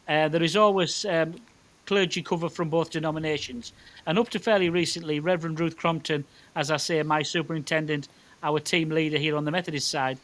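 A man's voice: on the high side, fairly bright tone. A middle-aged man with a County Durham accent, a minister giving informal, chatty and somewhat hesitant answers in an interview. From South County Durham, northeast England. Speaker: Methodist minister.

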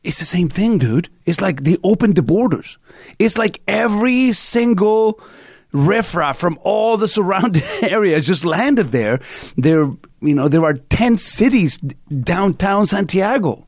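Chilean accent guy on talk radio, kinda high voice, dry studio sound, rambly and animated. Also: a little slow, dry recording. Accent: Chilean Spanish. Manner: animated storyteller, many fillers and restarts. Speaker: radio show host. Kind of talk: casual opinionated talk radio chat.

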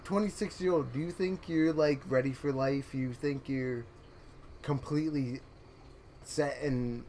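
A man's voice: mid-range voice, steady tone, a little slow, a little mellow, a little hiss or hum. A young man co-hosting a podcast, talking in a rambling, earnest way with frequent restarts and laughs. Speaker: podcast co-host. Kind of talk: casual chat.

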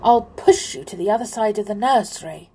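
A woman's voice: mid-range voice, sing-song, unhurried, creaky, a little mellow, slightly rough, heavily acted, poor audio. A woman voicing an indignant, proud male clockwork clown in a children's story, speaking emphatically. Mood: cross.

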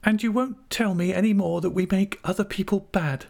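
A man's voice: high-pitched, very animated, measured pace, creaky, pitched above the performer's own voice, heavily acted. A man voicing a timid, childlike young wife, affectionate and naive, with a pleading, cooing delivery in an audiobook of a classic novel. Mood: coaxing.

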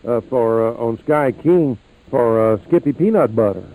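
Male host, relaxed dark-edged tone, conversational, wordy questions, casual interview delivery. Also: medium pitch, moderate pace, rough recording.

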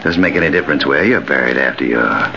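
An actor playing a cold, sardonic killer who taunts with mock politeness, in 1940s radio thriller style. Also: male voice, a bass voice, fast, vocal fry, pushed and bright, full character voice. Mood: mock-concerned, gloating. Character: cold, mocking male killer taunting his doomed victim. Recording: muffled, noisy old 1940s radio recording.

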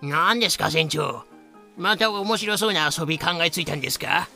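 A man playing a rough, lively old-hand pirate telling tales with slangy, dramatic delivery in a fan-made voice drama. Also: a little high, normal speed, faint music behind, full character voice. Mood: casual, curious. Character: rough lame ex-pirate storyteller, boisterous, lively.

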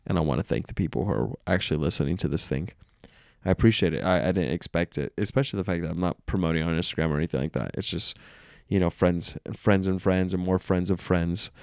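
A man's voice: low-pitched, fast talker, gravelly, darkish tone, dry recording, studio quality. A man hosting a casual solo podcast, congested and nasal from illness, rambling and self-aware. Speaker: podcast host.

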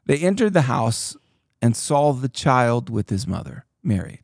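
A man hosting a casual church podcast, talking with animated, preachy emphasis and reading scripture aloud. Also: medium pitch, unhurried, vocal fry, no room sound, studio quality. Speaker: pastor and podcast host.

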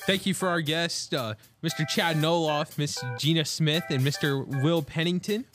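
Teenage boy, senior student co-hosting a podcast, slightly high voice, casual delivery, music clearly underneath. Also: fairly even intonation, moderate pace. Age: teens, high school senior. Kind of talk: casual chat.

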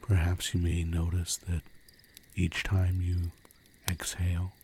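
A man with a low, dark, relaxed voice leads a sleep meditation. He speaks in a hushed, soothing way, leaving long pauses. Crickets sound softly behind him with faint noise. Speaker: guided meditation narrator. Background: crickets and crackling fire.

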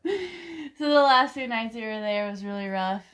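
A woman's voice: a little high, animated, a little slow, fairly bright tone. A woman in her thirties with a slightly stuffy voice, co-hosting a podcast in loose, unscripted conversation peppered with "like". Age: 30s. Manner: frequent "like" filler. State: slightly congested. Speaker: podcast co-host. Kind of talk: casual unscripted chat.

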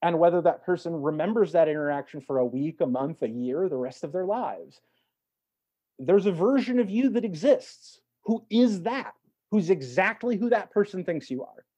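A man speaking as an interview guest, an actor talking in a thoughtful, reflective way with measured phrasing and emphatic swells. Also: sits high, animated, warm tone, slightly rough. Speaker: podcast guest, stage and voice actor.

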